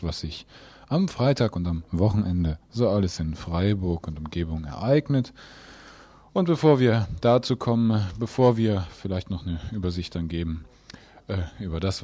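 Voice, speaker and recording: Low, mellow-voiced male radio host. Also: husky, no echo. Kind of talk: unscripted radio presenting.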